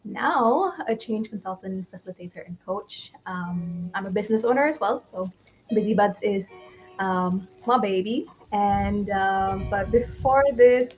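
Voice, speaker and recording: A woman's voice: mid-range voice, unhurried, a little mellow, highs cut off, a little hiss or hum. Upbeat Malaysian woman, laughs often. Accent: Malaysian English. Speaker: change consultant and coach. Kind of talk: casual livestream interview.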